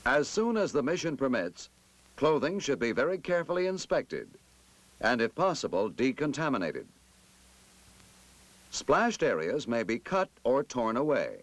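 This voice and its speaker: A man narrating a scripted 1960s military instructional film in a formal, emphatic, measured manner. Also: mid-range voice, very animated, dry recording.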